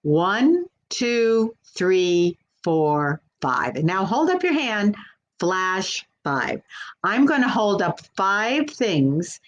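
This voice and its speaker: Slow-paced woman leading children's storytime. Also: medium pitch. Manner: simple, encouraging, addresses children with questions. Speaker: children's librarian hosting storytime. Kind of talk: live children's storytime, reading and simple activities.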